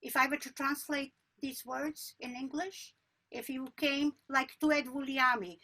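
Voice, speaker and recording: A woman's voice: high-pitched, steady tone, normal speed, pushed and bright, low-quality recording. A woman researcher, Albanian her first language, speaking at an academic panel in an earnest way, often stopping to correct herself. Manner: earnest, many restarts and self-corrections.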